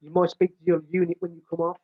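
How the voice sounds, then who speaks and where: A man's voice: a little high, monotone, fast, slightly rough, dry recording. British man with a big voice over a tinny line. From United Kingdom. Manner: restarts and repeats words often, plain-spoken. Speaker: American football coach. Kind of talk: casual explanatory talk. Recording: dry, tinny phone-like line.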